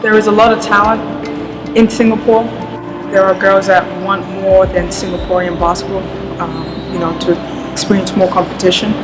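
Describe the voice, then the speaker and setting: A woman from London, a basketball coach and team director, speaking in a measured, earnest interview and often saying "you know". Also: mid-range voice, little pitch movement, rough recording. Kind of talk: interview talk to camera. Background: music.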